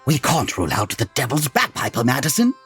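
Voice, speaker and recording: A man playing a learned, eager gentleman detective, formal and theatrical, in a comedy audio drama. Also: medium pitch, wildly swooping pitch, fairly quick, slightly rough, faint music behind, exaggerated voice. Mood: storytelling, eager. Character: learned, eager, gentlemanly Victorian detective, patient but exasperated.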